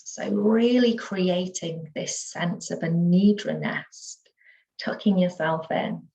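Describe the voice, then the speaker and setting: A woman teaching yoga nidra, guiding a relaxation meditation in a soothing, unhurried manner with gentle pauses. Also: on the low side, creaky, poor audio.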